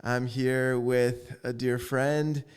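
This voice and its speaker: Male podcast host, mid-pitched, smooth resonant voice, warm hesitant interviewer. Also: flat delivery, drawn-out words. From Russia. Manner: friendly, hesitant with frequent ums and uhs. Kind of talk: casual interview.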